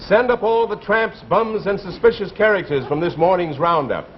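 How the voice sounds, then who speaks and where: An actor playing a suspicious, scatterbrained police sergeant in a 1950s radio comedy, halting and interrogating. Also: male voice, sits high, close to an everyday voice. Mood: officious. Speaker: comic actor in a radio show. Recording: muffled old radio recording, noisy studio audience. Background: audience and clear noise.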